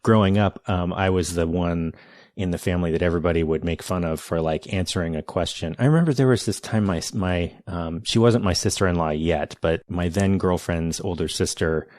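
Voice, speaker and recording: Very low-voiced reflective male host. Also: darkish tone, dry recording, studio quality. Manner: reflective, measured, with hesitations. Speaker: podcast host. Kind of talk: casual conversational interview.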